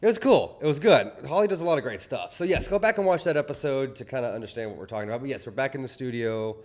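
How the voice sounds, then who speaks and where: A man's voice: medium pitch, animated, fast, darkish tone. A man with a Southern US accent co-hosting a casual talk show in a studio, rambling and correcting himself as he chats. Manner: rambling, self-correcting, chatty. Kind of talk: casual chat.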